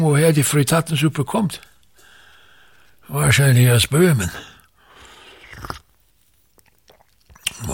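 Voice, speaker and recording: Radio drama: self-important older patriarch of the stage, creaky voice, very animated pitch, pompous delivery. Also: male voice, full character voice. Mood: savouring. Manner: pompous, declamatory, emphatic repetitions. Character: pompous, tyrannical older actor-patriarch, grandiose and aggrieved. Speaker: actor. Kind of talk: theatrical radio drama monologue.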